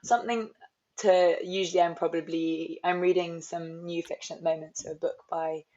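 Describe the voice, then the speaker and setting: A woman's voice: lowish voice, fairly even intonation, normal speed, darkish tone. A young woman from London with a London accent, an author talking reflectively in an interview, often restarting her sentences. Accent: London English. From London, England. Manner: thoughtful, rambling with restarts. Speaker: author guest. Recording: slightly dull, lo-fi audio.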